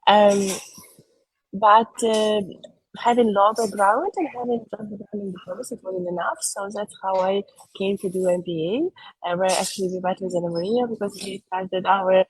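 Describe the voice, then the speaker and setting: Interview guest, woman in her 30s, husky, halting with filler pauses, dry sound. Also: mid-range voice, fairly even intonation, no echo, low-quality recording. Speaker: guest speaker. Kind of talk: career story in a conversational interview.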